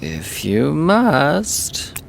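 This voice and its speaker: A man's voice: a little high, huge pitch swings, drawn-out words, creaky, a little hiss or hum, exaggerated voice. A man voicing a jaded, sarcastic actor in an audio drama, with a dry, drawling delivery. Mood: sardonic. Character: jaded actor who plays a vampire, sardonic.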